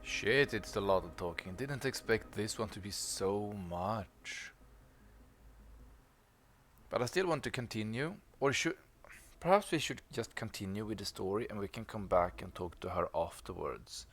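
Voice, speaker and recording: Low-voiced man chatting over a game. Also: animated, measured pace, fairly bright tone. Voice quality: offhand, thinking-aloud manner. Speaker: gameplay streamer. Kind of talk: casual playthrough commentary.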